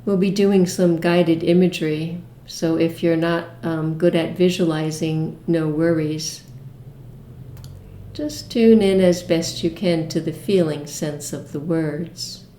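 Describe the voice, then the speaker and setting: A woman leading a guided meditation with imagery, speaking in a gentle, soothing manner with long pauses. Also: deep voice, steady tone, echoey room, slight background noise.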